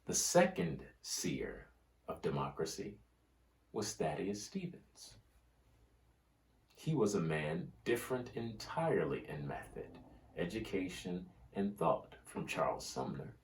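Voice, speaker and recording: A man with a fairly low voice reads historical prose aloud very slowly. His delivery is solemn and oratorical, with deliberate pauses between phrases. The sound is roomy, with a clear echo around the voice. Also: sing-song. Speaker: reader of a history book. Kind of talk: scripted dramatic reading of history and quoted oratory. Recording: roomy, echoing room sound.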